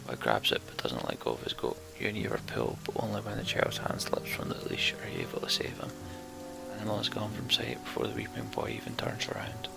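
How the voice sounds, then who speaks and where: A man's voice: fairly low pitch, sing-song, fast, gravelly, over music. A man narrating a fantasy game's story text aloud as a let's play host.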